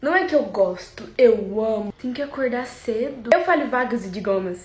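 Young brazilian woman doing comedy skits, high voice, music playing, kinda echoey. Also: expressive, moderate pace, a little mellow. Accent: Brazilian Portuguese. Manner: playful, dramatic. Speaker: comedy sketch creator. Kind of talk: comedic sketch acting.

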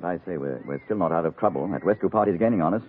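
Husky-voiced British gentleman. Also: low voice, racing. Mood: puzzled, concerned. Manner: polite, old-fashioned chappish phrasing. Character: genteel, polite British gentleman ally, easily astonished. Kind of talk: old-time radio thriller acting. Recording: muffled, narrow old radio recording.

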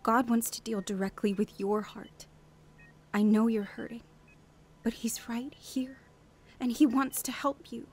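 A woman's voice: fairly high pitch, little pitch movement, a little slow, pauses often, a little mellow, a little hiss or hum, lightly acted. An actress playing an earnest, devout wife in a radio drama, acting and narrating her heartfelt testimony. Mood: gentle, assured.

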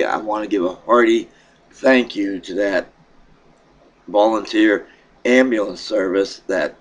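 A middle-aged man with a froggy throat, casually talking to his webcam at home in a rambling, halting way. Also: lowish voice. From Pennsylvania. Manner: rambling, halting, with many fillers and restarts. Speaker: vlogger. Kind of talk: casual vlog update. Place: small room in a home, indoors. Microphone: webcam at desk.

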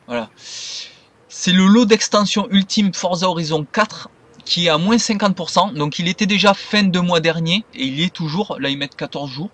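A man's voice: fairly high pitch, a bit bright. A man giving casual, unscripted game commentary, rambling and stumbling over his words. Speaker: gaming video creator. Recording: noisy home recording.

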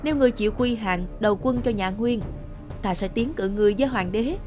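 A woman's voice: mid-range voice, quick pace, darkish tone, soft background music, natural voice. A woman voicing an arrogant, scornful male invader commander in a historical cartoon. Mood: smug persuasive. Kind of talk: cartoon history drama acting.

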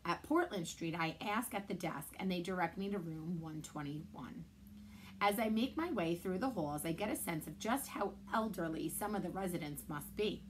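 Librarian in her thirties, steady read-aloud voice, camera mic in a library room. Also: fairly low pitch, slight background noise, rough recording. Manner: steady, measured storytelling. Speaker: children's librarian. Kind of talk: reading a chapter book aloud.

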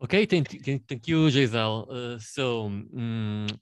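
A man in his thirties with an Italian accent, introducing himself on a panel in a halting way, with many fillers and restarts. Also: medium pitch, smooth, no echo. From Italy. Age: 30s. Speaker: panel guest.

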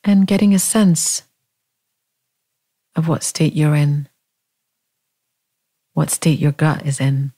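Female meditation guide: calm, gentle, very slow delivery with generous pauses, warm and relaxed tone. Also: sits low, slightly rough. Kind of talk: guided body scan meditation.

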